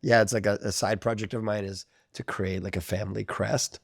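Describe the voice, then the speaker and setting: A man in his thirties with a Northern Californian accent, a podcast guest talking casually, rambling with lots of "like" and "um" and quick laughs. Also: fairly low pitch, vocal fry, no echo, clean studio sound. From Russia, raised in California. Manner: relaxed, rambling, heavy use of "like" and "um", laughs often. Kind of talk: casual interview chat.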